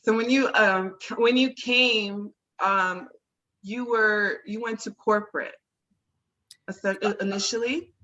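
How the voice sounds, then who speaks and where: A woman hosting an interview, talking slowly and affectionately to her guest. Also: mid-range voice, steady tone, pushed and bright. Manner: slow and affectionate, calls guest sister. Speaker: interview host. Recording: dull lo-fi sound.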